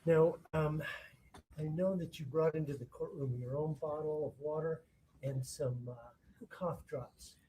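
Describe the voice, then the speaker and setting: A man acting as prosecutor in a courtroom, questioning a witness in a halting way, often rephrasing mid-sentence. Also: on the high side, warm tone, slight background noise, rough recording.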